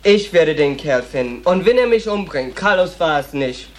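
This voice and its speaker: An actor playing a blunt, provocative, streetwise transvestite prostitute in a German radio play. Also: male voice, a little high, animated, moderate pace, slight background noise, lightly acted. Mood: defiant, angry. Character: defiant, streetwise transvestite prostitute in New York.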